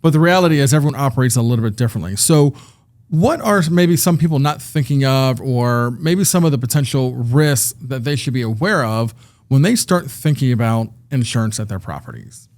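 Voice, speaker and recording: A man's voice: mid-range voice, expressive, a little fast, a little mellow. A man hosting a podcast interview in a studio, talking in a friendly, conversational way with some fillers. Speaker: podcast host. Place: recording studio.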